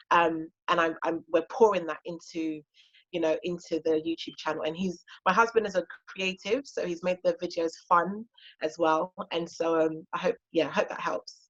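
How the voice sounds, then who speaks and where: A woman's voice: fairly low pitch, muffled recording. A woman in her thirties, a native Twi speaker from Ghana, talking casually and encouragingly as a homeschooling guest speaker, with frequent fillers. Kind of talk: casual talk on an online session.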